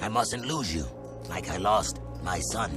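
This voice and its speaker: A man voicing a stern warrior king, commanding and measured. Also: lowish voice, over music, close to an everyday voice.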